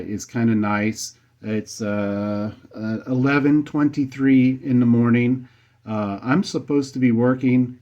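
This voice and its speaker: A man's voice: medium pitch, warm tone. A man with an American accent chatting in an easygoing, colloquial way as an amateur radio operator, speaking into a desk microphone. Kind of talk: casual radio chat. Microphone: USB desk condenser microphone. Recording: slightly dull desk microphone recording.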